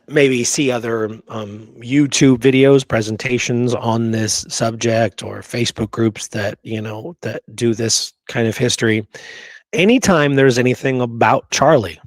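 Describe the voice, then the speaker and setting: Male host, mid-pitched, emphatic presenter of historical research, dry studio-style sound. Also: no room sound. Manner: emphatic, checks in with rhetorical right and okay. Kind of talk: presenting research to listeners, partly reading prepared notes.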